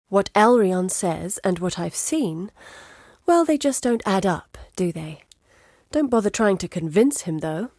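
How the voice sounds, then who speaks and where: A woman playing an educated, curious, guarded map-maker in exile in a video game, speaking in a measured, earnest, articulate way. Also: medium pitch, a little mellow, no echo, studio quality, lightly acted. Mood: wry, skeptical. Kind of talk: scripted video game character dialogue. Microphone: close studio mic.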